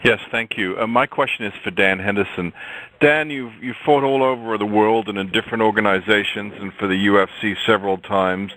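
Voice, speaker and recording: A man's voice: lowish voice, steady tone, fairly quick, a bit bright, low-quality recording. A man working as a sports reporter, politely asking questions on a press call and rephrasing them midway. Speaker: wire-service sports reporter. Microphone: telephone.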